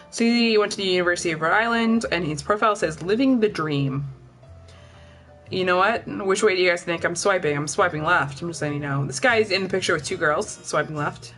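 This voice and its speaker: A young woman chatting casually on a live stream, blunt and profane, rambling with lots of filler words. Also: fairly bright tone. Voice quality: lower voice than most women. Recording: faint music behind. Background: faint music.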